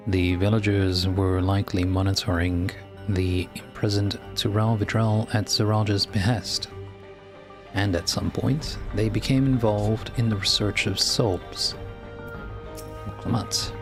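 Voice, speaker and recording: Low-voiced man reading game dialogue aloud. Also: gravelly. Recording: music playing behind.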